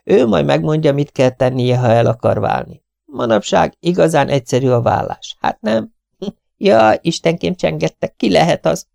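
A man doing a fluttery high-voiced spinster. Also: very animated, vocal fry, a little mellow, no echo, clean studio sound, heavily acted. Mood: gushing. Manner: fluttery, breathless, gushing. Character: gushing fussy middle-aged spinster.

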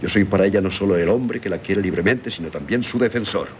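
An actor playing a middle-aged, devoted and sorrowful doctor husband in a radio drama, solemn and theatrical, with a Castilian Spanish accent. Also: male voice, low-pitched, fast, highs cut off, lightly acted. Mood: indignant. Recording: slightly noisy 1970s radio recording.

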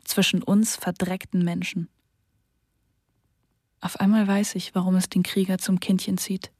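A woman reading a wartime diary for a radio feature, calm and measured. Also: lowish voice, dry recording, clean studio sound.

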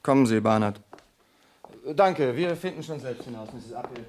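An actor playing a businesslike, matter-of-fact patrol constable in a German radio play. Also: male voice, mid-range voice, animated, moderate pace, a little mellow, lightly acted. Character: businesslike male patrol constable, brisk colleague. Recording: car noise behind voice.

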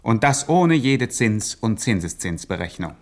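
An actor playing a pedantic co-narrator in a satirical radio play, formal and deadpan. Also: male voice, fairly low pitch, a little slow, full character voice. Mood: dry, deadpan.

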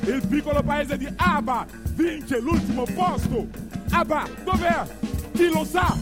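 Man, stage narrator, very high pitch, declamatory, half-sung storytelling, loud live band with drums behind. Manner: declamatory, short deliberate phrases. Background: live band music with drums and strings.

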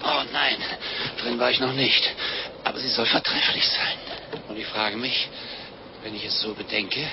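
An actor playing a fat, jolly, gluttonous middle-aged monk with an unctuous, excitable manner in a 1950s radio play. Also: male voice, medium pitch, a little slow, gravelly, pauses often, heavy background noise. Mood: hungry, musing. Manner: unctuous, food-loving, excitable. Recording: dull vintage radio recording with music.

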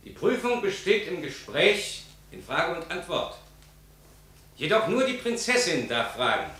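An actor in a 1950s German radio drama playing a stern, formal court official with ceremonial, declamatory delivery. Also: male voice, sits high, sing-song, measured pace, bright, forceful tone, heavily acted. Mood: solemn. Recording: very echoey large hall.

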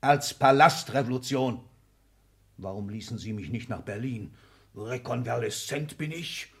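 Herrischer, aufbrausender alter Industriepatriarch. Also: male voice, mid-range voice, a little slow, vocal fry. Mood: indignant. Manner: commanding, declamatory, volatile, clipped emphatic phrases. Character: ailing, domineering old industrialist patriarch, irascible, power-hungry. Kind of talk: 1960s German radio drama acting.

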